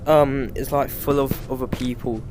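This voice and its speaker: A man with a British accent giving rambling, casual commentary over his gameplay, swearing freely and filling pauses with "like" and "um". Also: medium pitch, fairly even intonation, over music. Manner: rambling, frequent swearing and fillers. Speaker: gaming YouTuber.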